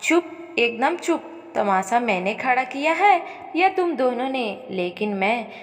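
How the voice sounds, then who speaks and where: Woman plays accusing, defiant daughter for story audio; theatrical, high, echoing hall sound. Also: very animated, slow. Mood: furious. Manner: indignant, theatrical. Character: furious jealous young daughter, defiant and accusing. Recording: long reverb on voice.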